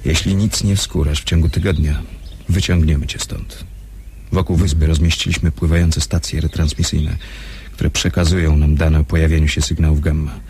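Deep-voiced, curt undercover officer. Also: male voice, flat delivery, moderate pace, darkish tone, slightly rough, full character voice. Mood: businesslike. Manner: curt, businesslike. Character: brisk, impatient undercover officer, a man. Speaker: actor in a radio drama. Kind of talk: radio drama acting. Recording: noisy recording with background ambience.

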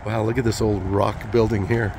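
A man in his fifties narrating a casual road-trip vlog, chatty and easily impressed, thinking out loud as he films. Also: on the low side, flat delivery, normal speed. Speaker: travel vlogger. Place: outdoors on a windy small-town street and in a car. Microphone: phone camera at arm's length. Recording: wind and car noise behind. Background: wind and passing traffic.

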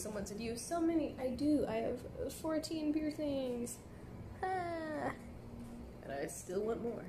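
Young woman, light and a bit high-pitched, easygoing chatter to viewers from a café, phone mic close by. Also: background noise. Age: young adult. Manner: chatty, laid-back, heavy use of "like" fillers. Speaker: live streamer answering viewer comments. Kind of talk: casual live stream chat. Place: indoor café at night. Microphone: phone at arm's length.

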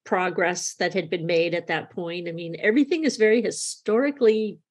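A woman's voice: lowish voice, brisk, breathy edge. A woman hosting a conversational podcast interview, talking in an effusive, emphatic way.

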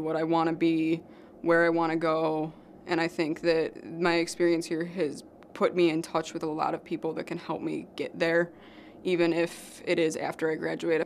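Creaky, monotone young woman. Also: low-pitched, slight background noise. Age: young adult. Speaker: college student. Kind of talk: interview testimonial.

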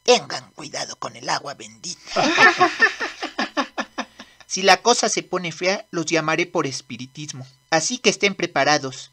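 A woman voicing a mischievous, excitable boy demon prince in a manga dub, brash and commanding. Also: sits low, measured pace, husky, exaggerated voice. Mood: bossy. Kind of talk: amateur manga dub acting.

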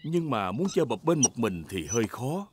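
A man's voice: medium pitch, big pitch swings, moderate pace, natural voice. A man reading scripted narration for a children's cartoon. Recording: music behind the voice.

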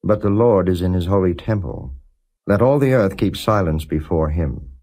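A man giving a non-dramatized scripture reading as a narrator, in a measured, solemn and formal way. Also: deep voice, dark tone. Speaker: Bible reader, audiobook narrator.

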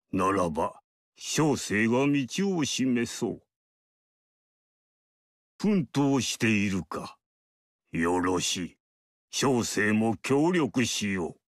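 A man voicing an aloof male sage mystic in a video game, speaking in a measured, lofty, archaic way. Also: on the low side, no echo, studio quality, exaggerated voice. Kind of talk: game character voice lines.

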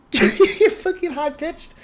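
A man doing excitable live game commentary, with shouty, exasperated, swearing outbursts. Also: extremely high pitch, extremely slow, over a noisy crowd. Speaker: game streamer.

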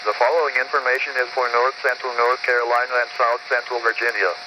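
A man reading scripted weather radio announcements in a formal, measured manner. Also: medium pitch, flat delivery. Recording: noisy distant weather radio reception. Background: radio static.

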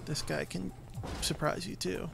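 Male game commentator, slightly high voice, casual and explanatory, pauses mid-thought. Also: steady tone, vocal fry, very noisy.

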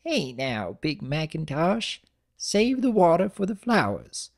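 A man's voice: on the high side, wildly swooping pitch, takes it slowly, voice pushed up, exaggerated voice. A man voicing a feisty, blunt elderly country granny pony with folksy talk, in a dramatic reading of a cartoon story. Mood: consoling.

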